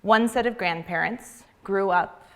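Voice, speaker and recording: A young adult woman from the United States giving a prepared public talk in an earnest, measured way with deliberate pauses. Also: mid-range voice, a bit bright. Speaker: graduate student speaker.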